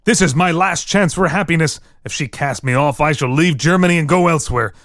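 A man's voice: on the high side, a little fast, fairly bright tone, no echo, clean studio sound, pitched above the performer's own voice, natural voice. A man playing an agitated, pleading young German man in love, in a theatrical Victorian melodrama reading. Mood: agitated, despairing.

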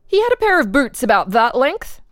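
Lady playing an interrogator grilling a suspect, formal pointed questions, slightly high voice, dry recording, dramatic reading. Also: very animated, fairly quick, no room sound. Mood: probing, formal. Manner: formal, probing, leading questions. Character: probing, insistent official interrogator questioning a murder suspect.

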